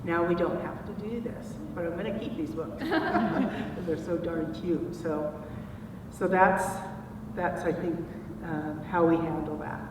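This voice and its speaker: A woman's voice: on the low side, a little slow, recorded from a distance. A woman in her sixties, a children's author speaking earnestly on a conference panel. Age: 60s. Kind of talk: panel discussion remarks. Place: conference hall. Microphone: far from the mic. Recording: echoey hall recorded from afar, noisy.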